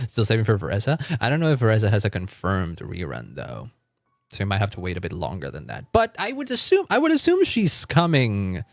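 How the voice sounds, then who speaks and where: A man's voice: medium pitch, no room sound. A man chatting casually on a gaming livestream, rambling and often repeating his phrases. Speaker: live streamer.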